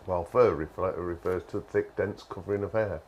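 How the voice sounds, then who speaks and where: A man's voice: low voice, brisk, a little mellow, highs cut off, a little hiss or hum. A man off to the side of a live workshop stream, reading out viewer comments and teasing with dry humour. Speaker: co-host reading chat comments. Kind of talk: casual banter off-mic.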